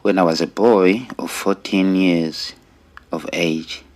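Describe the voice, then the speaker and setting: A middle-aged man with a Rwandan accent giving an earnest personal testimony in deliberate, short phrases with pauses. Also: deep voice, fairly even intonation, darkish tone. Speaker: storyteller sharing own life story.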